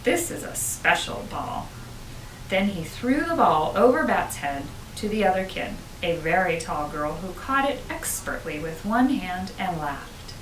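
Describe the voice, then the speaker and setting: A woman reading a children's book aloud with expressive storytelling, as a school principal would to pupils. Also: lowish voice, a little slow, few pauses. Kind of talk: children's audiobook narration. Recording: roomy, distant, noisy home-style recording.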